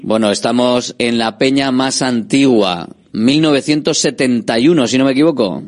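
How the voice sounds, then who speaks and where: A man's voice: medium pitch, normal speed. A man hosting a radio sports show, interviewing guests in a friendly, chatty way.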